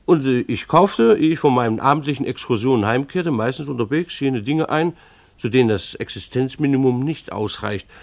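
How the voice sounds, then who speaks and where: A harried father telling of his household in a radio play. His voice is mid-pitched with a gravelly creak, recorded close and dry. He speaks with ironic formality and deliberate pauses. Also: lively intonation, a little mellow, dry recording. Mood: wry, deliberate. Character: harried, ironic husband and father narrating family chaos. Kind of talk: literary radio drama narration.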